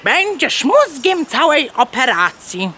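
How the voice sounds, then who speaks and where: A woman's voice: sits high, sing-song, takes it slowly, bright, forceful tone, a little hiss or hum, full character voice. A woman voicing a bossy, clever mother hen in a children's audio drama, declaiming and clucking between her words. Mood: persuasive, insistent.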